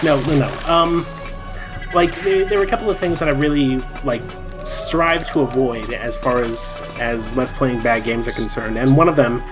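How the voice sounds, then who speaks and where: A man with a mid-range voice hosts a game playthrough in loose, casual chat. His delivery is dry and ironic, wandering through his thoughts while game music plays clearly behind him. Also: medium pitch, moderate pace. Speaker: host playing the game. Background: loud game music and effects.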